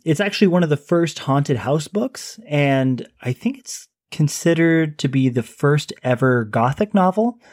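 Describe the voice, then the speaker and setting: Relaxed man hosting, dry mic. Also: fairly high pitch, measured pace, hardly any pauses, no room sound. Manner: relaxed, many "like" fillers, wraps up episodes. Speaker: podcast co-host. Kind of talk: casual book chat.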